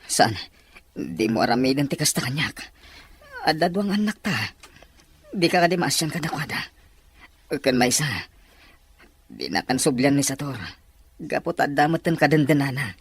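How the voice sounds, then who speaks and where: A man's voice: on the high side, moderate pace, pauses often, lightly acted. Man acting a pleading husband, faint noise behind. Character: remorseful, pleading estranged husband and father. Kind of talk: Ilocano radio-drama acting.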